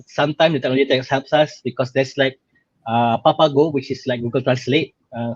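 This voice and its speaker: A man's voice: medium pitch, no room sound. A young Malaysian-accented man informally presenting his own experience as a guest, in a hesitant way with frequent fillers. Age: young adult. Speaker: guest speaker, exchange student alumnus. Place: video call. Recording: slightly dull, lo-fi online-call audio.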